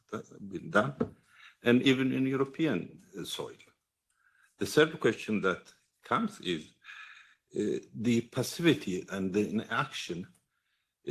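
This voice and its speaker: A man from Sweden making an earnest, halting impromptu comment at a political roundtable. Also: low voice, vocal fry, breathy edge. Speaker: audience commenter, activist and medical doctor.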